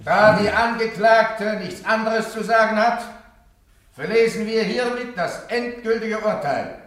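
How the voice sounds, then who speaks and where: A man's voice: high voice, measured pace, very echoey, recorded from a distance, a crowd in the background. An actor playing a stern presiding churchman pronouncing judgment in a radio play, solemn and commanding. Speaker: judge.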